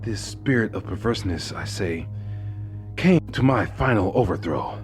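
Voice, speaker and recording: A man narrating a horror story in the first person, intense, dropping to hushed whispers and rising into anguished outbursts. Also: on the low side, animated, normal speed, faint music behind. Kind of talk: dramatic horror story reading.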